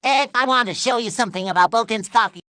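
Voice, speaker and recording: An actor voicing a cheerful, pushy puppet coffee pitchman in a comedic ad sketch, chipper and deadpan about mayhem. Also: fast talker, no echo, clean studio sound, lightly acted. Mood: chipper menace.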